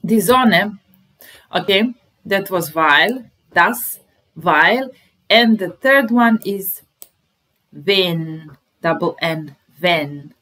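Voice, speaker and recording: A woman's voice: on the low side, animated, drawn-out words, pauses often, fairly bright tone. A woman in her thirties teaching a language lesson, patiently repeating phrases word by word at a webcam in a small room. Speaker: German teacher. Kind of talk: slow explanatory language lesson.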